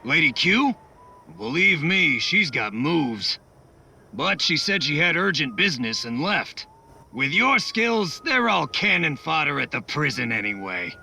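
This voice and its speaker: A man's voice: a little high, big pitch swings, unhurried, creaky, bright, forceful tone, a little hiss or hum, exaggerated voice. A man voicing a chatty, eccentric tinkerer talking over the radio in a video game. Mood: upbeat, chatty. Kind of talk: video game cutscene acting.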